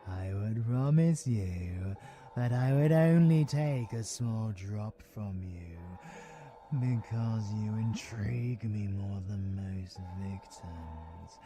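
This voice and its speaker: Very slow, menacing British vampiric monster. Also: male voice, fairly low pitch, mellow, soft background music. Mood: taunting, sadistic. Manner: slow, theatrical, drawn-out phrasing with breathy whispers. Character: predatory male vampiric abomination, menacing, mocking, savoring. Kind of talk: villain ASMR audio roleplay.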